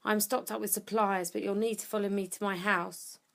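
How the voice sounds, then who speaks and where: An actress playing a tough, urgent woman survivor in an audio drama, speaking tersely and commandingly, often hushed. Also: mid-range voice, fairly even intonation, normal speed, vocal fry. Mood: firm, guarded. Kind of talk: audio drama acting with first-person narration.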